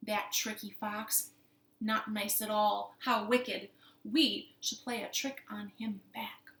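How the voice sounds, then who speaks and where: A woman's voice: mid-range voice, level tone, slow, fairly bright tone. A woman playing a startled, kindly, indignant woman baker in a children's folktale, with dramatic exclamations. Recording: roomy, echoing room sound.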